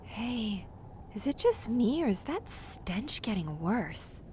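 An actress playing a frightened young bank teller on the run in a period suspense audio drama, hesitant and fretful. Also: female voice, on the high side, sing-song, takes it slowly, a little mellow, slight background noise. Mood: uneasy.

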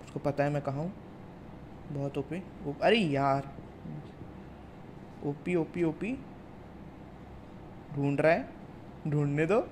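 A man's voice: fairly high pitch, slow pace, long pauses, darkish tone, slight background noise. A young man with an Indian accent giving casual, chatty commentary while playing a mobile shooter, talking to his viewers. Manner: chatty, addresses viewers as guys and brother. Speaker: mobile game streamer.

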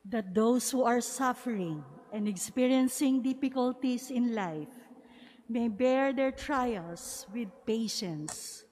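Mellow Filipino woman reading petitions. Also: a little high. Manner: slow, measured reading. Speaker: lector. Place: large cathedral. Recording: long reverb of a cathedral.

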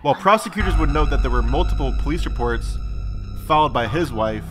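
Steady male podcast host narrating. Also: medium pitch, fairly quick, music in the background. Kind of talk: scripted narration and interviewing.

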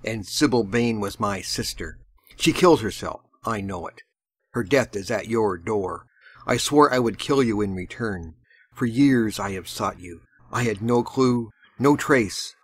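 A man's voice: on the low side, expressive, unhurried, husky. A man voicing a vengeful, thick-set sailor in an audiobook, blunt and growling with menace. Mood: menacing, angry.

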